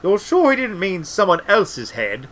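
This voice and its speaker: A man voicing a senior wizard in a storybook, earnest, weary and muddled, halting as he searches for words. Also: high voice, huge pitch swings, a bit bright, pitched above the performer's own voice, heavily acted. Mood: flustered, earnest.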